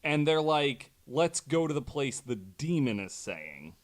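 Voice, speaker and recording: Male guest on a chat podcast, medium-pitched. Casual, wry, jokey interjections. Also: big pitch swings, unhurried. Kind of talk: casual joking commentary.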